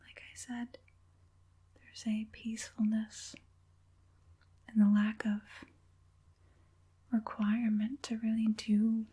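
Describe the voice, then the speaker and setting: An evil witch puppet master played by a woman speaks in a breathy, slow whisper. She is patient and coaxing, soothing and deliberate as she draws the listener in, in the style of an ASMR roleplay. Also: a little high, level tone, mellow, full character voice. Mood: soft persuasion.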